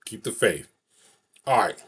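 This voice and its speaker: A man's voice: lowish voice, lively intonation, a little slow, fairly bright tone, slightly rough. A man talks straight to a webcam in a small bedroom. He rambles casually and loops back over the same phrases again and again. The sound is plain and close, picked up by the camera's own mic. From New York City. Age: 40s. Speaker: vlogger. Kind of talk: casual solo vlog to camera.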